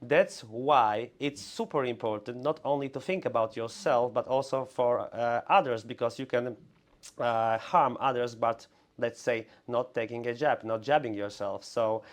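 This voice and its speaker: A man hosting an interview, speaking haltingly with frequent fillers and restarts. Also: mid-range voice. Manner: hesitant, halting, full of "uh". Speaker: host. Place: clinic in Warsaw.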